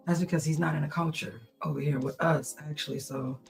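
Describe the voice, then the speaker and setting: A podcast co-host in casual banter, calm and matter-of-fact, sometimes a little hesitant. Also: mid-range voice, fairly quick, soft background music.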